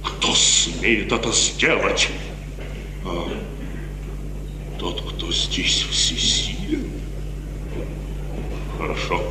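Proud old military father, low voice, swooping theatrical delivery, brooding, hot-headed, vintage radio tragedy. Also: huge pitch swings, drawn-out words, pauses often, full character voice. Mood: indignant, brooding. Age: older. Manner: theatrical, brooding, stiffly formal. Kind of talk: 1950s classical stage tragedy radio acting. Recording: noisy 1950s radio recording, long hall echo.

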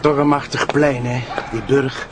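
A man's voice: medium pitch, little pitch movement, measured pace, loud music behind the voice, natural voice. A man playing a cultured, dryly witty police sidekick with a Flemish accent in a radio drama. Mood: cultured, calm. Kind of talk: naturalistic radio drama acting. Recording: noisy ambient background.

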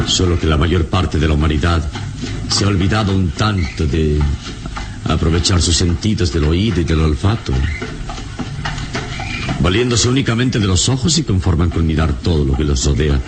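Wise heroic adventurer, very low voice, expressive, measured, didactic, calm, vintage radio drama, noisy recording. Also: male voice. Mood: serene, philosophical. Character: wise, calm, noble adventurer hero with heightened senses. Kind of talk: old Latin American radio serial acting.

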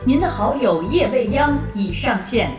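A formal game announcer. Her high voice carries melodic swings through echo, with music behind it. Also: lively intonation, normal speed. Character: formal female online game system announcer. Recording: echoey, distant, music behind.

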